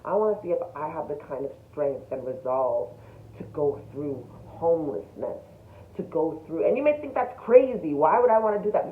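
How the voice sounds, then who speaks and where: A woman talking casually and emphatically to viewers in a self-development vlog, often posing rhetorical questions. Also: lowish voice. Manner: emphatic, animated, rhetorical questions, frequent chuckles. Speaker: vlogger. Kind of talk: casual spiritual self-development talk to camera. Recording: roomy echo, thin phone-like sound.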